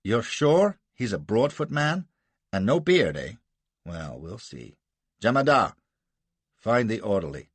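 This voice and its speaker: A man reading aloud and voicing a gruff, blustering American colonel with a barking, bullying manner. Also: on the low side, big pitch swings, measured pace, pauses often, no echo, exaggerated voice. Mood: brusque.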